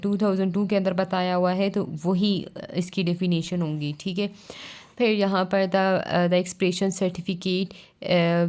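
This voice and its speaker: A woman's voice: mid-range voice, a little mellow. A woman with a Pakistani accent giving an explanatory lesson as a law tutor, reading out and explaining line by line.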